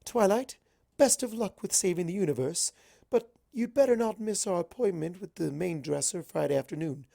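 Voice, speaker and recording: A refined young lady unicorn from a storybook, acted out by the narrator. She speaks high and primly, with theatrical emphasis. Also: lively intonation, normal speed, creaky, dark tone. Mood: prim, determined. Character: Rarity, refined dramatic young female unicorn pony.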